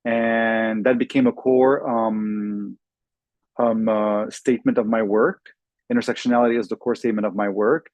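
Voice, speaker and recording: A man's voice: on the low side, steady tone, moderate pace, darkish tone, no echo. A man from Lebanon speaks fluently, listing things one after another with the odd um. He is introducing himself as a panelist. The sound is dry, as on a video call. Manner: fluent, listing, with filler ums. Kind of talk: self-introduction on a webinar panel.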